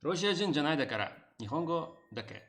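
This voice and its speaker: A man's voice: fairly high pitch, very animated, a little fast, fairly bright tone. A male streamer talks loosely to his chat while he works, piling up fillers and restarts. He drops into broken learner Japanese for a joke. Faint music plays behind him. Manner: rambling, many fillers, answers chat. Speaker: streamer and game developer. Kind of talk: casual live-stream chat while drawing.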